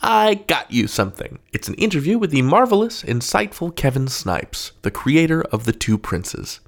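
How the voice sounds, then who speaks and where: Male interview host, highly varied pitch, animated and emphatic, conversational podcast delivery. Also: moderate pace, creaky. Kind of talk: hosted introduction and conversational interviewing.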